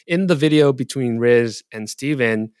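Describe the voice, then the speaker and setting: Podcast guest guy, middle pitch, thinks out loud with lots of restarts, dry mic. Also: normal speed, smooth, no room sound. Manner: thoughtful, with restarts and hedging. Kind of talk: casual podcast discussion.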